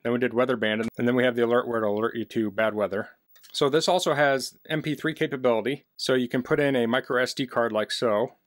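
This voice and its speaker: A man talks through a hands-on product demonstration with a mid-pitched voice. He is plain-spoken and practical, explaining things casually, and the indoor recording is fairly dry. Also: steady tone, fast talker, no echo. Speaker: product reviewer. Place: basement, indoors.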